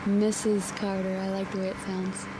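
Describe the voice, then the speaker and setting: An actress playing a sharp, questioning and playful young newlywed wife in a radio drama. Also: female voice, mid-range voice, normal speed, lightly acted. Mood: playful, affectionate. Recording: steady car road noise behind.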